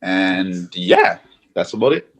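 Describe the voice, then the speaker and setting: A man's voice: sits low, sing-song, slow pace. A man speaking casually as a guest panelist on a podcast. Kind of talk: casual chat.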